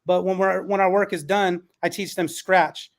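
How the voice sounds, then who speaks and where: A man's voice: sits high, fairly even intonation, normal speed. A man speaking conversationally as a panel host and schoolteacher, earnest and appreciative. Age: adult, parent of a newborn.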